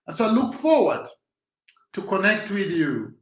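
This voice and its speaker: Rousing Kenyan man, high voice. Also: sing-song, unhurried, roomy sound. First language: Swahili. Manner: rousing, preacher-like. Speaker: host. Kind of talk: motivational talk.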